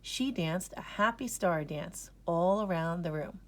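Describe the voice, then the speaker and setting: A woman reading a children's storybook aloud in an expressive narrating style. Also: fairly low pitch, a little slow. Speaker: storybook reader.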